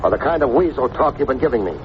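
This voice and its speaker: A hardboiled American private detective played by a male actor from an old radio show. He talks blunt and sardonic, full of tough wisecracks, at a medium pitch. The recording is muffled and heavy with noise. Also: expressive, rapid-fire, natural voice. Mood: tough, menacing. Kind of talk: old-time radio detective acting with first-person narration.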